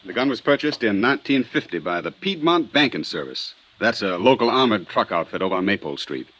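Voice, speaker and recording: Police sergeant, American, animated intonation, brisk and official, vintage radio drama with faint noise. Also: male voice, on the low side. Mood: businesslike. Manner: businesslike, terse questioning. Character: brisk, matter-of-fact male police sergeant at gun registry. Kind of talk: 1950s radio drama acting.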